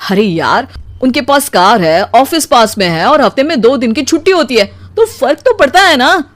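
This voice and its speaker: Lady doing tired pleading cartoon husband, soft-hearted, high voice, dry sound. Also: animated, normal speed, no echo. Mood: weary. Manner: pleading, weary, plaintive. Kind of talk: animated story acting.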